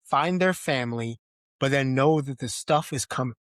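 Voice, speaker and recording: A man's voice: medium pitch, moderate pace, no echo. Casual man hosting a podcast, close dry sound. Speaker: podcast host. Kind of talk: casual film discussion.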